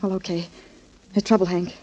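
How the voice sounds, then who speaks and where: A woman's voice: on the low side, level tone, normal speed, dark tone, lightly acted. A woman playing a tough, anxious, streetwise boxer's girlfriend in a 1940s radio drama, blunt, slangy and urgent. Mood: urgent, wary. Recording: dull 1940s radio recording.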